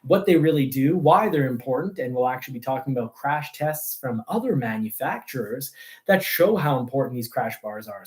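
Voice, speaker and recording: A man's voice: on the high side, a little mellow. Rambling man. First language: French. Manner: rambling, halting delivery. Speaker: host of a car news show. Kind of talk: casual livestream chat.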